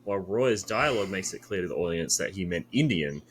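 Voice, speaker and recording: Deep-voiced man co-hosting a podcast. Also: animated, a little fast, a little hiss or hum. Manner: teasing, laughs often. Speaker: podcast co-host. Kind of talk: casual chat, reading aloud from notes.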